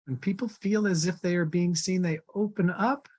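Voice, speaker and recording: A man teaching an online workshop on personal energy, calm and reassuring as he reviews grounding skills. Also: high-pitched, normal speed. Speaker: workshop instructor.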